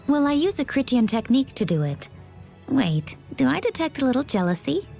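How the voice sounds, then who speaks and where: A woman playing a composed, enigmatic young warrior in an anime-style video game, with measured delivery. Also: sits high, big pitch swings, creaky, warm tone, faint music behind, exaggerated voice. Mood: playful.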